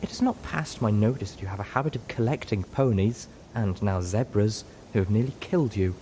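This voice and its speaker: A man's voice: on the low side, brisk, warm tone, slight background noise, natural voice. A man voicing a refined female pony with a frayed temper, haughty, snide and weary in a story reading. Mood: exasperated.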